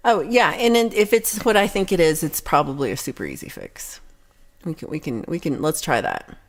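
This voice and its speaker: A woman's voice: low-pitched, sing-song, moderate pace. A young woman with a Texan accent who hosts a casual livestream. She reads viewer comments aloud and talks in a rambling, chatty way. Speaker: livestream host reading chat. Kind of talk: casual chat.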